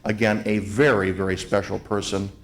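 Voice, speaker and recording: Man, fairly low voice, opening remarks at a formal event, ceremonial tribute, deliberate emphatic delivery, repeated phrases. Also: level tone. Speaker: event speaker, museum president giving opening remarks. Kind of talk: ceremonial tribute speech to a live audience. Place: hotel grand ballroom event.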